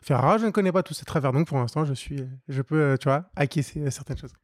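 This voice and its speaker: Young man, rapid casual guest, rambling chatter, dry close mic. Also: fairly high pitch, dry recording. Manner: quick, laughing, loose and rambling. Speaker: guest, co-founder of a street art venue. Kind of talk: casual chat.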